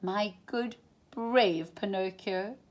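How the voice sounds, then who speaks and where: A woman's voice: mid-range voice, takes it slowly, natural voice. A woman voicing a kind, worried old father in a children's storybook, tender and anxious.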